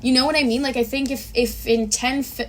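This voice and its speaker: A woman's voice: high-pitched, steady tone, a little fast, a bit bright, slight background noise. A woman speaking as an interview guest in casual, informal conversation with emphatic repetition. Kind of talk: casual chat.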